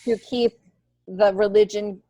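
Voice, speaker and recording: A woman's voice: medium pitch, fairly even intonation, takes it slowly, mellow, no room sound. A middle-aged woman, a stand-up comedian, talks casually and candidly as a podcast guest, in an informal, filler-heavy way. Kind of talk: casual conversational chat.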